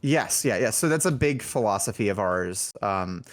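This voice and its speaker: A man's voice: on the low side, expressive, normal speed. A man chatting casually as an interview guest, thinking aloud in a rambling way. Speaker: indie game developer, podcast guest.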